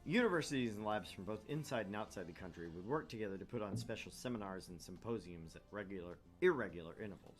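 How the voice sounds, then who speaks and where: A man's voice: lowish voice, animated, fast, hardly any pauses, faint music behind. A man hosting a gaming show, reading a visual novel aloud and commenting casually.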